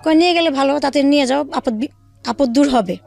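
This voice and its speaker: A woman with a Bangladeshi accent telling her own life story in an interview, haltingly, with pauses and repeated phrases. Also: sits high, creaky. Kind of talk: personal storytelling in a radio interview. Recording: faint music bed under the voice. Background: soft background music.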